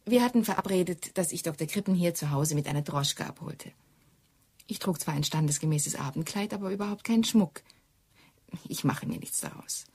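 An actress playing a self-assured, well-mannered young secretary, formal and evasive, in a radio play. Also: female voice, lowish voice, lively intonation, brisk, darkish tone, slightly rough. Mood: composed, lightly charming. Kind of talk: German radio drama dialogue.

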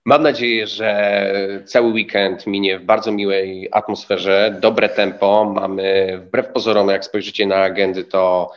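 A man in his thirties co-hosting a live festival stream from a studio, chatty and filling pauses with hesitations. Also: fairly low pitch, level tone, dull audio. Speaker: festival co-host. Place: studio set in an institute.

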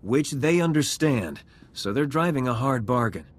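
Composed resistance leader played by a man, mid-pitched. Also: expressive, lightly acted. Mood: composed, earnest. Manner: measured, formal. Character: composed, idealistic male resistance politician. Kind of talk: video game voice acting. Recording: music playing behind the voice.

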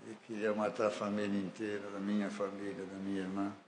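A man's voice: on the low side, completely flat delivery, a little fast, slight background noise. A man with a Brazilian Portuguese accent preaching a fervent sermon in a church hall, building to emphatic declarations. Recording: echoey church hall with faint ambience.

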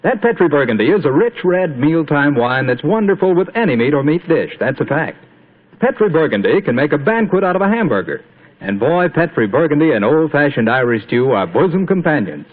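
A man's voice: on the high side, sing-song, fairly quick, narrow, tinny audio. An American man announcing on 1940s radio, with a folksy salesman's manner. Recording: vintage 1940s radio broadcast.

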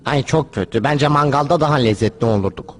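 Loyal cartoon sidekick played by a man, deferential and fretful, mid-pitched male voice, meek delivery. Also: a little fast, a little hiss or hum, natural voice. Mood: dismayed. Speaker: cartoon voice actor. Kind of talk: animated cartoon dub acting.

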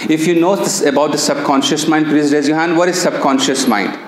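A man's voice: fairly high pitch, fairly even intonation, fairly quick. Echoey, chatty Pakistani-accented man. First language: Persian. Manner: chatty, engaging, asks audience questions. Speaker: NLP coach and trainer. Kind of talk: live seminar presentation. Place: seminar room with projector screen. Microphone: handheld microphone. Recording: echoey room, faint hum.

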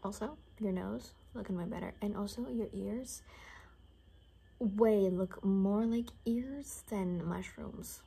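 A young woman doing an ASMR roleplay in soft, half-whispered, gossipy rambling chat. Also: mid-range voice, slow pace, vocal fry, husky. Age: young adult. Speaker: ASMR performer. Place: small bedroom, indoors.

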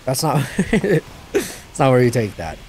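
A young man giving casual gameplay commentary, excitable, yelping and laughing at the action, his voice a little stuffed up. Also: medium pitch, a little slow, slight background noise. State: a bit stuffed up from crying. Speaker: gaming channel host.

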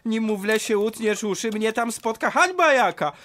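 A man's voice: sits high, expressive, moderate pace, fairly bright tone. An actor playing a cowardly, boastful hanger-on in a theatrical verse comedy, pompous and whining in his delivery. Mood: anxious wheedling. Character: cowardly, boastful hanger-on, wheedling and anxious schemer.

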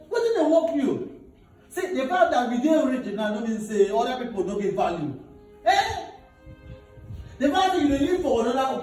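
A man's voice: very high-pitched, darkish tone. A man playing a stern older brother who scolds his sister, then turns apologetic. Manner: scolding, mixes Nigerian Pidgin and English. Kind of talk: comedy skit acting. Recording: echoey room, distant mic, music.

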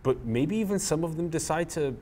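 A man in his thirties hosting an interview, talking in a rambling, animated way with lots of "like", on a lapel mic in a small room. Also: on the high side, a little fast, slight background noise. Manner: rambling, animated, uses "like" a lot. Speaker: podcast host. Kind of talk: casual interview chat. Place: small plain room indoors.

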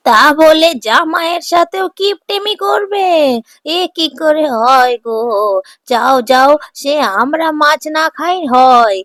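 Woman doing a fed up village auntie for a cartoon, really high voice, scolds and nags, close dry sound. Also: dry recording. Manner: nagging, dramatic, colloquial. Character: exasperated older village wife and mother, scolding. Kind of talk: Bengali cartoon fairy-tale dialogue.

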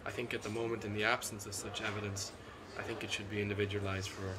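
A middle-aged man, a cardiologist being interviewed at a conference, talks in a measured, analytical way with frequent ums and restarts. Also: lowish voice, level tone, very noisy. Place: seated at a conference booth indoors. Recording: constant conference hall ambience.

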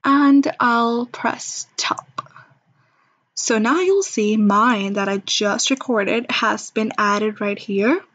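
A woman narrating an informal screen-recorded software demo in a chatty, reassuring, step-by-step way. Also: on the high side, slow pace. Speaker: software demonstrator.

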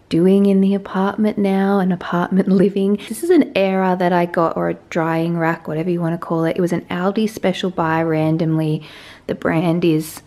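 A young woman in her twenties with an Australian accent, slightly hoarse, chatting scatterbrained and self-mocking as she films an apartment tour on a handheld camera. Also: lowish voice, darkish tone. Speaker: lifestyle vlogger. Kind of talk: casual apartment tour vlog. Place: small apartment indoors and balcony. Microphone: handheld camera at arm's length.

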